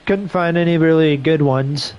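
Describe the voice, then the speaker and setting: A slightly hoarse man on a headset mic giving casual play-by-play commentary, with relaxed, rambling asides between calls. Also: a little high, moderate pace, lo-fi sound, slight background noise. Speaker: streamer doing play-by-play.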